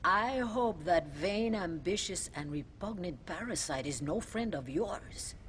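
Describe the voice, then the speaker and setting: A pious mother superior nun in a video game, measured and sharp-tongued, her steady. Her voice sits a little low yet swings widely in pitch. A faint rumble hums behind her. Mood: stern. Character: stern middle-aged Mexican mother superior nun. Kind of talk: video game cutscene acting.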